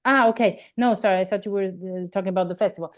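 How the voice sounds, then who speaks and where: A singer with an Italian accent, a woman with a fairly high voice, answers interview questions while searching for words and often ending sentences with "no?". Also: fast talker. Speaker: singer and guest.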